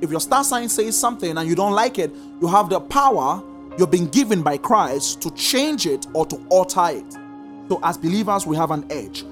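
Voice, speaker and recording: A preacher teaching a congregation, stressing points in slow drawn-out repeated commands. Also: mid-range voice, soft background music. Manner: lively sing-song emphasis, slow drawn-out repeated commands. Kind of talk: sermon teaching.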